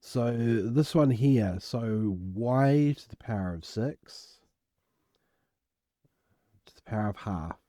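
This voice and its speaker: A man with a croaky voice, casually working through an algebra exam step by step as a tutor, recorded at home. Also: medium pitch, slow pace, pauses often, dark tone, no echo, studio quality. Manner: casual, self-deprecating, explains step by step. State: croaky voice from illness. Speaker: maths tutor. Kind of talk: worked exam walkthrough.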